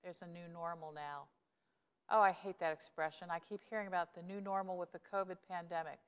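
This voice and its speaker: A woman's voice: lowish voice, fairly even intonation, a little fast, clean tone. A middle-aged woman pastor gives an earnest, conversational sermon, with emphatic rises, on a lapel mic in a church sanctuary. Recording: long church reverb.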